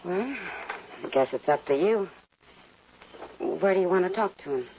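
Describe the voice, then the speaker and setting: A wry warm old west saloon lady, lively pitch, noisy muffled old radio recording. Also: moderate pace. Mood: concerned. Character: warm, wry, worldly frontier saloon woman. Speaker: radio drama actor. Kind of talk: old-time radio western acting.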